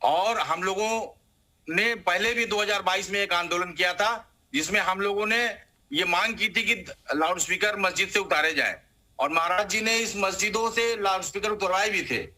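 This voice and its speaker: A man's voice: high-pitched, normal speed, sharp tone. A man who leads an activist group, stating demands as a guest in a live news interview.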